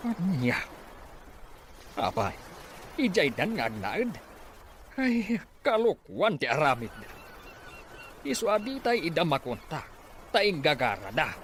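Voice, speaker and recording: A rather high male voice that swings widely in pitch, with a Filipino accent. A man acting as an exasperated father, grumbling and exclaiming in a radio drama over faint noise. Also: big pitch swings, measured pace, pauses often, fairly bright tone. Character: exasperated father of grown children abroad.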